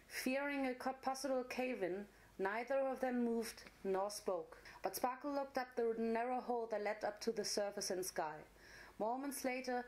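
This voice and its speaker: A woman's voice: a little high, darkish tone, low-quality recording. A woman narrator giving a dramatic reading of a fantasy story.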